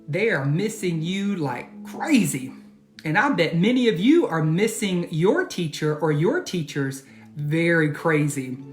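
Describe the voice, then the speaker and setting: A man reading aloud and teaching for children, expressive and addressing them directly with questions. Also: fairly high pitch, a little slow, faint music behind. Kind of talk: children's storybook read-aloud and lesson.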